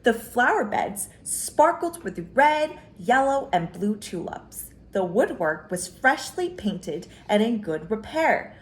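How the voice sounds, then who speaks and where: Woman doing kids story time, high voice, super animated, stretches out the important words. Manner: expressive, drawn-out emphasis on key words. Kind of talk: children's picture book read-aloud.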